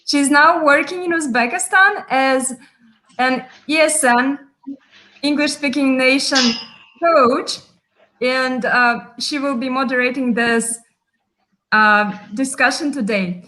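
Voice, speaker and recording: Bright high-voiced woman hosting. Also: slow, low-quality recording. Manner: welcoming, with frequent fillers. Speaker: host. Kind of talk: live online chat introduction.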